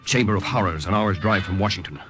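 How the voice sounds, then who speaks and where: A man's voice: low-pitched, expressive, fast, music in the background. A man acting as a tense, resolute young American secret agent in an old-time radio drama, with a hard-boiled dramatic delivery.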